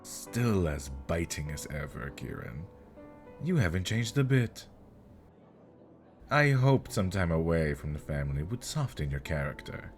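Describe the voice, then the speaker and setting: A man's voice: low-pitched, big pitch swings, a little slow, soft background music. A man playing a smug, teasing elder demon nobleman with mocking politeness and flirtatious charm, in an ASMR fantasy roleplay.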